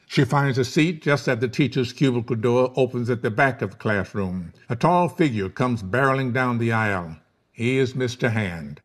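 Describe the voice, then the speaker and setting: Man narrating screenplay stage directions. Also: mid-range voice. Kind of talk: scripted narration at a table read.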